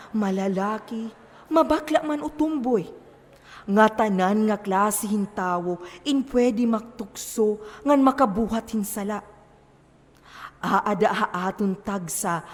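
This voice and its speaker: A woman's voice: mid-range voice, slow pace, lightly acted. A woman with an Eastern Visayan Filipino accent acting a preachy, commanding moral adviser in an amateur stage play, emphatic and lecturing. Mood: admonishing. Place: theatre stage in a hall. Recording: long echo of a hall.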